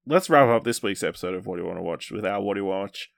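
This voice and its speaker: A man's voice: lowish voice, animated, very fast, creaky, no echo. A man hosting a podcast in casual, informal chat, steering the discussion along. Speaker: podcast host.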